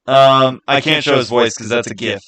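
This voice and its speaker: A man with a bright, punchy voice at an ordinary male pitch talks off the cuff as a video host. He gives opinions on games in a casual way. He leans on his points emphatically, often restating a phrase to drive it home. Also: moderate pace. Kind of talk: casual unscripted gaming commentary.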